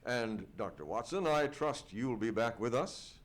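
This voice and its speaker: A man's voice: medium pitch, expressive, measured pace. A man playing a courteous old-time radio announcer in a live stage show, formal and polite in his presentation. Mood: cordial.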